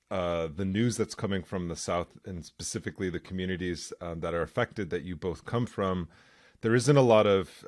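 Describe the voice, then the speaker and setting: A man's voice: low voice, dry recording, clean studio sound. A man hosting a podcast interview, earnest and conversational. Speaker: podcast host.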